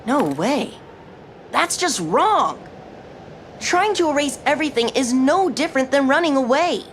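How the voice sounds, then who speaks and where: A woman's voice: sits high, a little slow, slight background noise. A woman voicing a spirited, indignant youngster in a fantasy video game, speaking emphatically. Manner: emphatic, animated.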